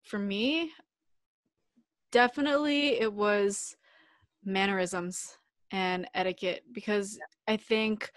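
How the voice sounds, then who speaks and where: A woman's voice: on the high side, no room sound, clean studio sound. A woman from the United States co-hosting a casual podcast chat, talking in a reflective, loose way with lots of fillers. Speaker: podcast co-host.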